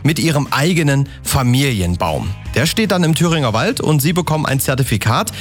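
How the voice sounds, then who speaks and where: A man's voice: medium pitch, very animated, brisk, faint music behind. A man presenting on the radio in an upbeat, chatty way. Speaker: radio host.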